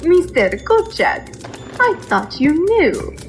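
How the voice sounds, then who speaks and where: Lilith, a slow ominous seductress, voiced by a woman. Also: high-pitched, sing-song, loud music behind the voice, exaggerated voice. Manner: slow, measured, ominous delivery. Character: mysterious, seductive woman of the vampire world. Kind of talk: old-time horror radio play acting.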